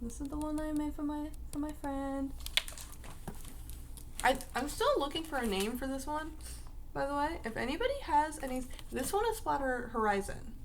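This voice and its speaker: Raspy, just-woken young woman. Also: sits high, moderate pace, slight background noise. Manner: rambling, chatty, full of fillers like "like" and "uh". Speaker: vlogger. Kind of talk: casual talk to camera.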